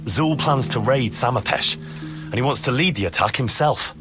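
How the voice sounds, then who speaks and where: A man's voice: mid-range voice, expressive, moderate pace, over music, natural voice. An actor playing a blunt, wary young man with a dryly sardonic manner in a video game. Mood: dry, matter-of-fact. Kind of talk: acted video game cutscene dialogue.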